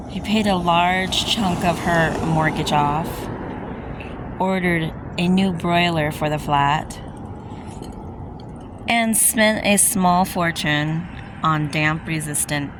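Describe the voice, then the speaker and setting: A woman's voice: fairly low pitch, takes it slowly, noisy background. A woman reading a romance novel aloud in an informal, amateur storytelling way, dropping in casual personal asides. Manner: informal, interrupts own reading to comment. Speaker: amateur audiobook reader and vlogger.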